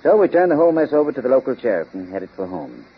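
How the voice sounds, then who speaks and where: Gruff, animated old police inspector. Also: male voice, medium pitch, quick pace. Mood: rueful narrating. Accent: American. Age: older. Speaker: actor in a radio detective drama. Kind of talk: 1930s-40s American radio acting. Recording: dull, noisy vintage radio recording.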